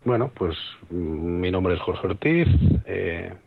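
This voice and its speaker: A man's voice: low-pitched, lively intonation, slow, gravelly, husky, dry recording, phone-quality sound. A man introducing himself plainly as a podcast guest over an online call. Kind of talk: casual chat.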